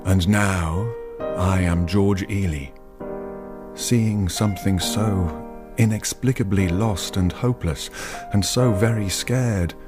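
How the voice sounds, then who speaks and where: Lovestruck shy young clerk telling his story, real low voice, piano music behind. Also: slow pace. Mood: wistful, solemn. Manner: solemn, wistful, florid. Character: young, timid, lovestruck male clerk narrating his memories. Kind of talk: literary first-person radio drama narration.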